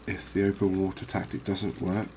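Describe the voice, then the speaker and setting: A man giving unhurried, murmured ASMR commentary as he hosts a fishing game series. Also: low-pitched, completely flat delivery, mellow, a little hiss or hum. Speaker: host of a gaming series.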